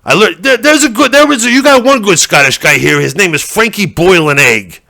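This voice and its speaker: A brash, foul-mouthed podcaster played by a man rants and rambles from a comedy stage. His voice sits fairly high and swings all over the place. The tone is bright and forceful throughout. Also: animated, brisk, gravelly, no room sound, studio quality, exaggerated voice. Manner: ranting, profane, rambling. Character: brash bigoted American male podcaster. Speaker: stand-up comedian. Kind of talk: stand-up character act.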